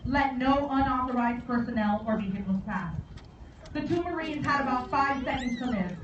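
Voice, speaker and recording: A woman delivering a formal commemorative speech as guest speaker at a memorial service, in a solemn, measured manner with deliberate phrasing. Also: high-pitched, lively intonation. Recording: echoey, distant, dull and very noisy.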